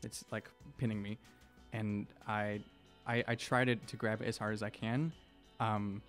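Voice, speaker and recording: Hesitant low-voiced young man. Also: flat delivery, unhurried, fairly bright tone, soft background music. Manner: hesitant, many ums and uhs. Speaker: player. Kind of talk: playing a tabletop game. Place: studio set with a desk. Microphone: studio mic on a stand.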